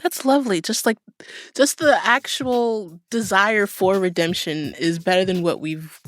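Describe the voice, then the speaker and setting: A woman hosts an interview in a dry, echo-free recording. She talks informally and playfully at a slow, unhurried pace, like casual conversation with a guest. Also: medium pitch. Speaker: podcast host interviewing an author.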